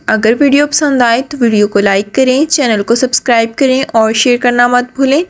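Woman, slightly high-pitched, cooking voice-over, step-by-step instructions. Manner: steady step-by-step instructions to the viewer. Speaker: cooking channel host. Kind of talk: recipe voice-over narration.

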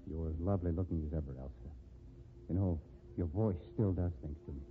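An actor playing a wounded, nervous ex-convict in 1950s radio style, with halting, intense delivery. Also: male voice, extremely low pitch. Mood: wistful. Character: wounded, nervous ex-convict man back from ruin. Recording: tinny, noisy 1950s radio recording.

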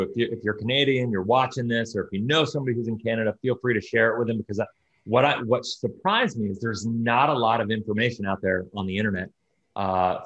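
Low-voiced American man, roomy sound. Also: lo-fi sound. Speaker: video host interviewing a guest. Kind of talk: casual conversational hosting. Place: small indoor home office with bookshelves, on a video call. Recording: roomy, echoey video call.

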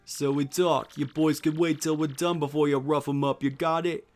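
A man voicing a gruff, menacing mob boss in a video game, with tough-guy gangster slang. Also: a little high, steady tone, normal speed, a little mellow, soft background music, lightly acted. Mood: bossy, bargaining. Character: gruff, menacing male mob boss, big cartoon creature. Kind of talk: video game character dub.

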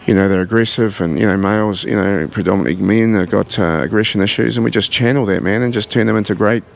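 A gravelly, fairly low-voiced man in his forties with a New Zealand accent, speaking in an earnest, halting way full of fillers and restarts. He is being interviewed in a large indoor gym and holds a handheld mic. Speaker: gym owner. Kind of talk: casual interview.

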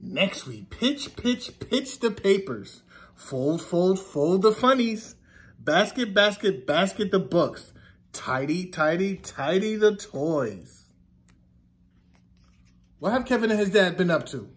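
A man in his thirties reads a picture book to children as their teacher. His voice sits high for a man, and he takes his time. The delivery is deliberate and sing-song, leaning on each repeated word. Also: sing-song. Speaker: teacher reading aloud to children. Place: classroom, indoors.

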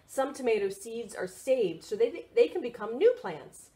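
Female children's librarian for a picture-book read-aloud: higher register, highly animated, expressive, prompts little listeners with questions.

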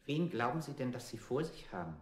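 An actor playing a cold interrogator in a 1960s radio play, formal and threatening. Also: male voice, medium pitch, big pitch swings, a little fast, over a noisy crowd, natural voice. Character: cold, menacing male interrogator. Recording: roomy, echoing studio sound.

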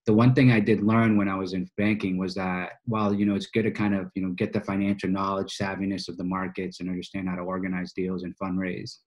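A man in his early thirties from Boston talks as an interview guest. His voice sits low with limited melodic movement. He tells his story informally, with plenty of slang. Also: little pitch movement, fast talker, hardly any pauses, darkish tone. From United States, Boston. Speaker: podcast guest, financial coach. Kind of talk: casual conversational storytelling. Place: small office, indoors, on a video call.

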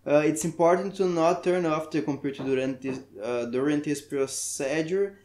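A man from Brazil with a Brazilian Portuguese accent, a native Portuguese speaker, talking through a software tutorial in halting English and searching for words. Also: a little high, roomy sound. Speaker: tech tutorial maker. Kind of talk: screen-recorded software tutorial.

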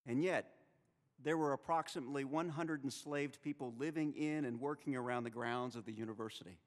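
A man in his thirties delivers a sermon into a lectern microphone. His preaching is measured and rhetorical, with dramatic pauses. The long reverb of a chapel hall trails behind his words. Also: mid-range voice. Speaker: seminary president preaching in chapel. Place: chapel with stone wall, at a wooden lectern.

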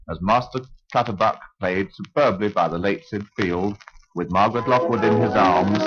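Deep-toned radio narrator, vintage man's voice. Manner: measured, formal, explanatory. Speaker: narrator of a radio programme on film music. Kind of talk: scripted 1950s radio narration. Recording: dull 1950 radio acetate disc recording. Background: orchestral film music.

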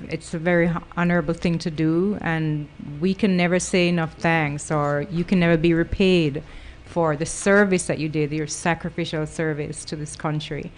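A woman's voice: sits low, slight background noise. A woman with a Jamaican accent hosting a radio talk show, chatting and affirming her guests often. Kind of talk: casual chat.

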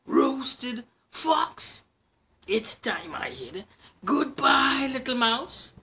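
A man with a Trinidadian accent voicing an inquisitive, easily scared storybook fox in loud exclamations. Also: very high-pitched, big pitch swings, very slow, voice pushed up, exaggerated voice. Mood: alarmed.

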